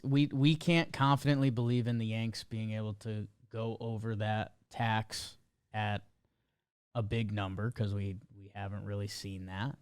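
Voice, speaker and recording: A man's voice: fairly low pitch, clean tone, dry recording, studio quality. A man co-hosting a podcast, chatting casually about sports in a laid-back way. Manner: laid-back, quick agreeing replies. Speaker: podcast co-host.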